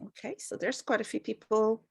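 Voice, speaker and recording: A woman's voice: fairly high pitch, fairly even intonation, a little fast, creaky, slightly rough. A woman, giving a chatty online talk over a video call, with lots of fillers and self-deprecating asides. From Portugal. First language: Portuguese. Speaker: guest speaker. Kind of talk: online presentation.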